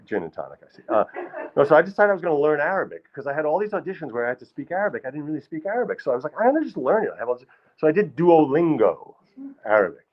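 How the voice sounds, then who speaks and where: A man's voice: on the high side, big pitch swings, rapid-fire, dull audio. A middle-aged man telling funny, rambling stories about acting to a live audience, with lots of restarts. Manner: rambling, self-deprecating, many restarts. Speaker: storyteller performer. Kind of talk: live comedic storytelling. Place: small hall with live audience.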